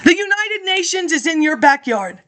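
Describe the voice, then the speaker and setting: A middle-aged woman with a high, bright-toned voice rambles on in a loose personal monologue. She sounds indignant, breaks into laughter often and jumps from one grievance to the next. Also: sing-song, moderate pace.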